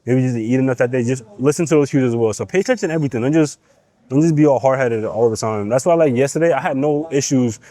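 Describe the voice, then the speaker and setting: A man's voice: mid-range voice, quick pace, dark tone, no echo. Nasal-sounding young man, sick. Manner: blunt, profane, pep-talking. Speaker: fitness vlogger. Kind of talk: casual gym vlog talk. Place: gym.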